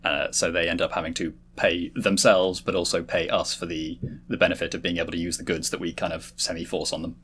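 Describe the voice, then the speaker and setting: A man with a husky voice, talking politics casually in a group discussion. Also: deep voice, level tone, brisk, a bit bright. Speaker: panel discussion regular.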